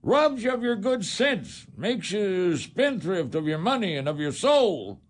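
An actor playing a stern, scornful old farmer who scolds and blusters in a community radio drama. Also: male voice, high-pitched, big pitch swings, unhurried, exaggerated voice.

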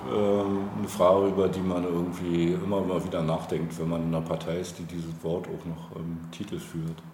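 Male interview guest, low register, thoughtful, halting delivery, thinking aloud, roomy recording. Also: flat delivery, darkish tone, a little hiss or hum. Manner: hesitant, searching for words, thinking aloud. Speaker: interview guest, local politician. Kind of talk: reflective conversational interview. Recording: roomy, echoey indoor sound.

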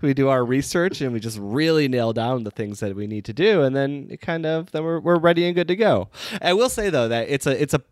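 A man with a cold talks in a casual podcast chat, his nose stuffy and his delivery rambling and self-mocking. His voice sits at an ordinary middle pitch, recorded dry and close with no room sound. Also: animated, a little fast, hardly any pauses, no room sound. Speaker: podcast co-host.

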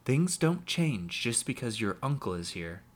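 A man voicing a stern, tired farm mother who speaks low and quietly. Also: very animated, a little slow. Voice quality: low, quiet, hard-edged. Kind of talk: audiobook character voice.

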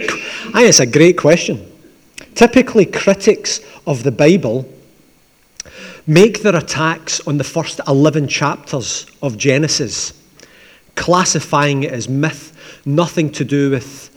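A man with a Glaswegian accent, preaching as the pastor of a church in a conversational, gently humorous way. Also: medium pitch. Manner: conversational, with asides and dry humour. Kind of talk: sermon with opening prayer. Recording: long church reverb.

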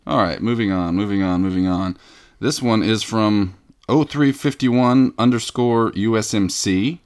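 Pompous gravelly-voiced male podcast host. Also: fairly low pitch, a little slow, a little mellow. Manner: pompous, self-important, mock-indignant. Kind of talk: comedic scripted podcast banter.

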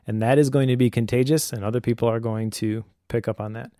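A man co-hosting a conversational advice podcast in a measured, reflective way. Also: mid-range voice, steady tone, warm tone, no echo, studio quality. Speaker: podcast co-host.

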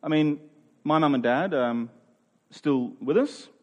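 A man in his forties preaching a sermon, earnest and emphatic, sometimes dropping to a quiet voice. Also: on the high side, slow pace. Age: 40s. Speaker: pastor.

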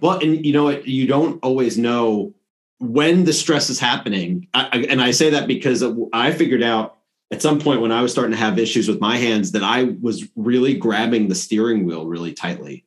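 A man's voice: mid-range voice, normal speed. A middle-aged man hosting an interview, speaking in an informal, rambling conversational way. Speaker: interviewer, host. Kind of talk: casual chat.

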